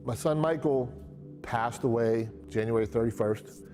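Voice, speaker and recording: A man's voice: mid-range voice, a little slow, darkish tone, faint music behind. A middle-aged American man speaking candidly in an interview, in plain words and often repeating phrases. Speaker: interviewee, dog trainer and former police officer.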